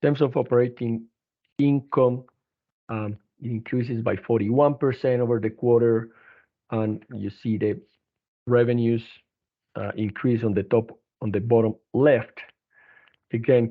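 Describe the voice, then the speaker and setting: Low-voiced man on a tinny phone line. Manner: halting, hesitant delivery with repeated restarts. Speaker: company executive presenting financial results. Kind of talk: earnings call presentation. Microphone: telephone conference line. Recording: narrow, tinny phone-line conference call.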